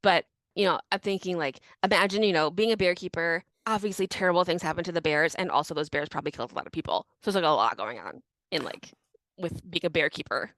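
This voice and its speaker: A woman's voice: fairly low pitch, quick pace, a bit bright, no echo. Chatty young woman telling history. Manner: chatty, laughs often, many "like" fillers. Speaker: podcast co-host. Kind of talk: casual history storytelling.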